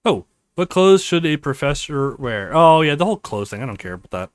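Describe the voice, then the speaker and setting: A man reads a visual novel aloud as a let's play host. His voice is fairly high for a man and extremely animated, captured close and dry. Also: brisk, no room sound. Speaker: let's play host reading all the parts.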